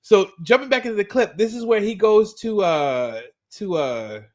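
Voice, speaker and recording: A man with a high voice co-hosts a fan rewatch podcast. He talks casually through scenes and adds his commentary, often doubling up on words as he looks for the next phrase. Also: animated, normal speed. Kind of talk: casual chat, recapping and commenting on a cartoon.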